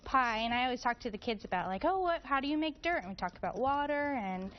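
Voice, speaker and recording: A woman's voice: fairly high pitch, animated, fast, dull audio. A woman chatting and explaining like a teacher during a casual cooking demonstration in a TV studio kitchen. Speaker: guest cooking instructor and fourth grade teacher.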